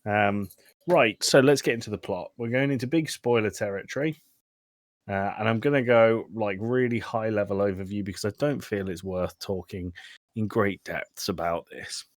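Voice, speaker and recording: Blunt low-voiced man, close dry sound. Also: moderate pace, no room sound. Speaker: podcast host. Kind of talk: casual film review chat.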